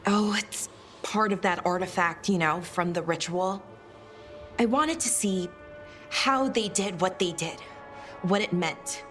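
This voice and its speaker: A woman plays an earnest young female scholar from a fantasy game. She speaks slowly and hesitantly at a middling pitch, anxious but hopeful, over faint music. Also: fairly bright tone, close to an everyday voice. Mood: troubled, uncertain. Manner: hesitant, trailing afterthoughts. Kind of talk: video game voice acting.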